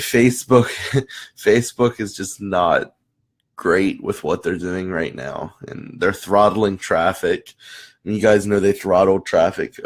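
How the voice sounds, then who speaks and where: Hoarse low-voiced man hosting a live chat. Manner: rambling, many restarts and fillers. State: hoarse after losing his voice. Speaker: host of a live marketing chat. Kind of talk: casual live-stream talk to viewers.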